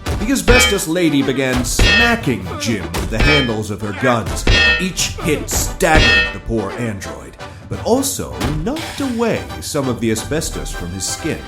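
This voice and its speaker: A man's voice: medium pitch, huge pitch swings, a little slow. A man giving dramatic scripted narration for an audio drama. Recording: loud music behind.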